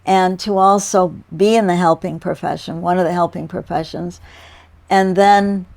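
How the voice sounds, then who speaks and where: A woman's voice: fairly low pitch, steady tone, normal speed, creaky, a little mellow. An American woman hosting a health podcast, asking thoughtful questions of her guest. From United States. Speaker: podcast host. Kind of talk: interview conversation.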